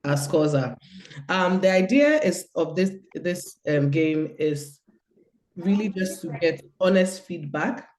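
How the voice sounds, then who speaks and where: A woman's voice: lowish voice, lively intonation, slow pace, a little mellow. A woman with a cold and a nasal voice, giving a hesitant online presentation over a video call. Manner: hesitant, many fillers. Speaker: guest speaker.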